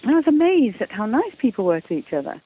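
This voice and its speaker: A woman's voice: on the high side, big pitch swings, quick pace, slightly rough. A woman with an English accent, a guest author talking eagerly and often repeating words as she starts sentences. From England. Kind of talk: casual interview talk. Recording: tinny phone line.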